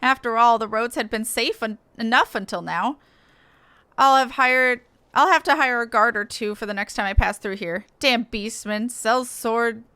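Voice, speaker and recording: A woman's voice: a little high, sharp tone, clear, resonant tone, no room sound. A woman voicing a rattled male traveling merchant in a game, chatty and grumbling. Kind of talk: reading game dialogue in character.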